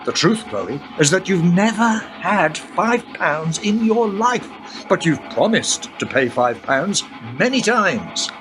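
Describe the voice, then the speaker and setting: A man's voice: high voice, big pitch swings, slow, over music, heavily acted. A man playing a patient, lecturing guide. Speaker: guide character. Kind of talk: radio play acting. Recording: background noise.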